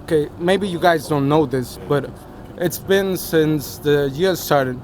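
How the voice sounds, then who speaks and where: A man from Rico, answering questions on a city street at night in a casual, halting, plain-spoken way. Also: on the high side, darkish tone, slight background noise. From Puerto Rico. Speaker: passerby interviewee.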